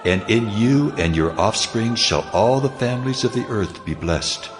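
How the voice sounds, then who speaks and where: An actor playing God, solemn and declarative, in a dramatized scripture reading. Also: male voice, lowish voice, lively intonation, moderate pace, music in the background, close to an everyday voice. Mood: solemn, majestic. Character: God, a solemn male deity speaking promises.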